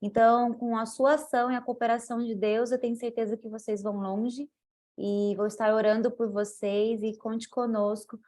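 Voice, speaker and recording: A woman's voice: fairly high pitch, level tone, moderate pace, darkish tone. A woman with a Brazilian Portuguese accent giving heartfelt closing words with a personal testimony. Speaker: guest speaker. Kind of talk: closing words in an online class.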